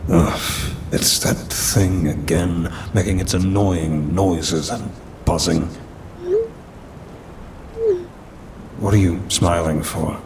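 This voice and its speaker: Casting: a man to play a smug, centuries-old demon for ASMR roleplay, very low and unhurried, condescending, old-fashioned phrasing. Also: steady tone. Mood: haughty, irritated. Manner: haughty, teasing, old-fashioned wording, drawn-out delivery. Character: smug, ancient male demon trapped in a cursed necklace. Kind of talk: ASMR audio roleplay acting. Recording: rumbling rain and thunder noise.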